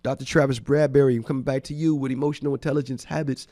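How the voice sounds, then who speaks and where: A man's voice: medium pitch, fairly even intonation, rapid-fire, dark tone, no echo. A congested man hosting a radio show, giving enthusiastic, rambling thank-yous in casual chat. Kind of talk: casual radio shout-outs. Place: radio studio.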